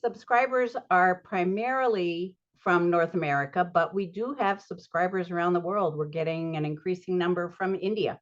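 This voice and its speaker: Older lady on a video call, lowish voice, takes her time between phrases, bit dull sounding. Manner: measured, deliberate, pausing between phrases. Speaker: publisher asking a question. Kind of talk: webinar audience question. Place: cluttered room at home.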